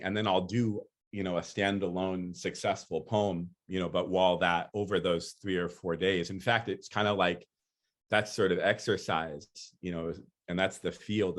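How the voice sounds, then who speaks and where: A man with a very low voice speaks easily and unhurriedly. He pads his answers with "you know" and the odd laugh, and he sounds like he is thinking out loud. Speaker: featured speaker, poet. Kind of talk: casual talk and Q&A.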